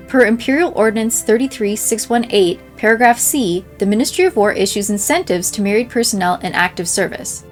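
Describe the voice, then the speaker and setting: Woman reading game messages aloud over music. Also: on the high side. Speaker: game playthrough creator reading in-game mail aloud.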